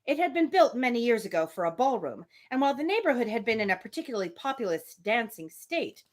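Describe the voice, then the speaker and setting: A woman reading a classic novel aloud on a livestream, with expressive phrasing and casual spoken asides. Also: fairly high pitch, quick pace. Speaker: livestream book reader.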